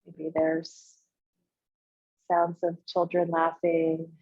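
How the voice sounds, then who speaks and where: Woman, meditation guide, husky breathy voice, very slow phrasing with pauses, slightly dull recording. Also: sits low, monotone. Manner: unhurried, instructional, pausing between short phrases. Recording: muffled, roomy, echoey sound.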